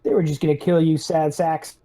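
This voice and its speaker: A man's voice: on the high side, level tone, brisk, dark tone, lightly acted. A man playing a blunt, hostile interrogator who presses captives with curt questions in a tabletop roleplay.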